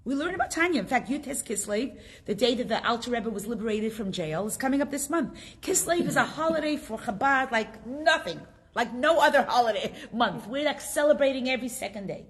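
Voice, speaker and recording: A middle-aged woman teaching a relaxed religious lesson to a women's group, talking with the class and pressing her points with emphatic bursts. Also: a little high, a little fast, few pauses. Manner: conversational, drawing answers from the class, emphatic bursts. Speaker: rebbetzin teaching a Tanya class.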